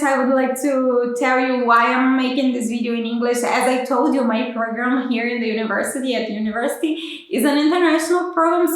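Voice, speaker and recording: High-voiced Brazilian woman in an echoey room. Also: fairly bright tone. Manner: careful non-native phrasing with restarts. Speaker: PhD student. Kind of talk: explanatory vlog. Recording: very echoey room, far from mic.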